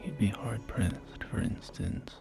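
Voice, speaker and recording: A man playing a polite, salesy post-apocalyptic real estate agent in a whispered ASMR roleplay, with hesitant, soft-spoken patter. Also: slow pace, lightly acted. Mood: proud, inviting. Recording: close whisper under loud music. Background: loud music.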